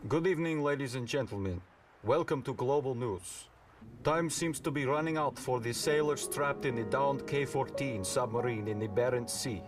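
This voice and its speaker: A man plays a formal, grave news anchor in a motion comic. His mid-range voice sounds set back from the mic, over clear music. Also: medium pitch, moderate pace, far from the mic, natural voice. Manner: formal, solemn.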